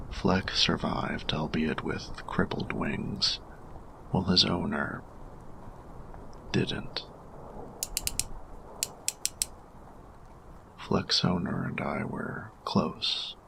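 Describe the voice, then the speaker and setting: Reserved steampunk inventor for audio drama, played by a man: formal, heavy fry, murmured yet crisp-edged and bright, faint background noise. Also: heavily acted, low-quality recording. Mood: somber, pained. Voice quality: gravelly, breathy low murmur. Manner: formal, measured, deliberate phrasing. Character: grumpy male steampunk artificer, formal, reserved, quietly grieving. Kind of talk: scripted audio roleplay addressed to the listener.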